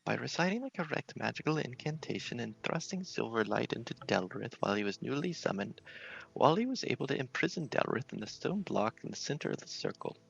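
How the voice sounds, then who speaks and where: A man's voice: medium pitch, sing-song, a little fast, gravelly, fairly bright tone, dull audio, heavily acted. A man voicing an elderly, mystical fortune-teller with a theatrical, portentous way of talking. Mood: solemn.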